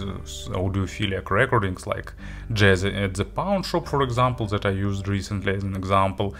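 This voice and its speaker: Male gear reviewer, unhurried and hesitant yet keen, slightly low voice, spoken review. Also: a bit bright.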